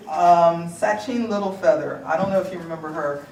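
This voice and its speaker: A woman's voice: lowish voice, normal speed, a little mellow. A middle-aged American woman, an author on a panel, chatting casually and joking as she talks about her book. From United States. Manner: chatty, joking, laughs at her own lines. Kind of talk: casual conference talk. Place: large conference hall. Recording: echoey hall, distant, noisy audience.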